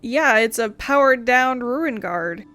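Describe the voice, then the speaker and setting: Gamer girl let's play, woman w/ highish voice, sarcastic n playful, faint music behind. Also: animated, slow, pushed and bright. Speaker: gaming video host. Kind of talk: casual let's play commentary.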